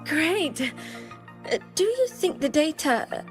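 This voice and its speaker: This very high, youthful voice belongs to an earnest student in a video game. She is easily flustered and apologetic, hesitant but polite and eager to explain. Music is clearly heard behind her. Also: big pitch swings, takes it slowly, exaggerated voice. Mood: hopeful, deflated. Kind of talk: scripted game dialogue.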